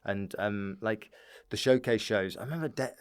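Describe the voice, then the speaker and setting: A British man chatting casually as a comedian guest on a podcast, rambling excitably through his anecdotes and often chuckling. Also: fairly low pitch, moderate pace, clear, resonant tone. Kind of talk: casual chat.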